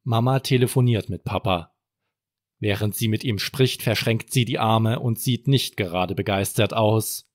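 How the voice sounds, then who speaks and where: A man's voice: lowish voice, measured pace, no room sound, studio quality. A man narrating a scripted bedtime story for children as a storyteller.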